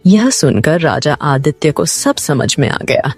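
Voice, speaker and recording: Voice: medium pitch, big pitch swings, a little slow, soft background music. A narrator reading a scripted story in a dramatic storytelling style.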